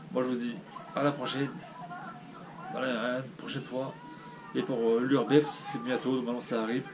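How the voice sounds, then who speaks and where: A man speaks to his viewers with the stuffy, blocked sound of a cold. He rambles and hesitates, restarting his sentences with a downcast air. His voice sits at an ordinary pitch, over a clear layer of background noise. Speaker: YouTuber. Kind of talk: casual vlog talking to viewers.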